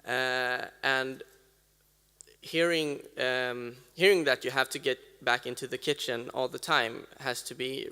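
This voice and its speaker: Gravelly man in a hall. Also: medium pitch, pushed and bright. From Sweden. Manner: hesitant, many ums and uhs. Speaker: audience member. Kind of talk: audience comment at a talk. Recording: reverberant hall sound.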